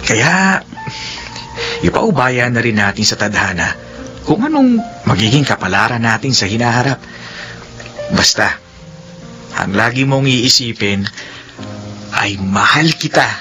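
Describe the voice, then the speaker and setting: A bright-voiced, devoted young lover. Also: male voice, medium pitch, animated, measured pace, pauses often, soft background music. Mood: devoted, reassuring. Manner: earnest, romantic, emphatic. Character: devoted, reassuring young man in love. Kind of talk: sentimental radio drama acting.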